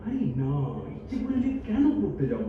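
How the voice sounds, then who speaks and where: Defensive, pleading boyfriend played by a man, quick and animated, thin phone-like sound in an echoey hall. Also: high voice, distant mic, slight background noise. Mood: indignant. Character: exasperated, defensive boyfriend in a long relationship. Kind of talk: melodramatic stage audio drama. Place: multipurpose hall stage.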